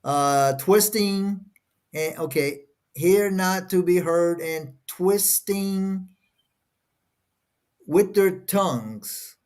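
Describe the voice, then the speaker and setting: A man's voice: high voice, drawn-out words, a little mellow. A man whose first language is Portuguese, reading verses aloud and stopping often to question each phrase in a casual discussion. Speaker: co-host reading scripture.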